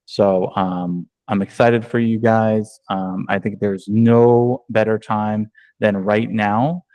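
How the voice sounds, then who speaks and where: A man's voice: on the low side, fairly even intonation, slow, darkish tone, no echo, low-quality recording. A man from New York, a real estate agent, giving a conversational online training over a video call with many ums and restarts.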